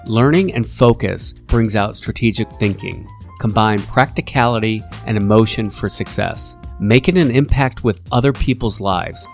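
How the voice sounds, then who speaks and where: A man hosting an interview podcast over a remote call, bantering with self-deprecating jokes. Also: medium pitch. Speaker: podcast host.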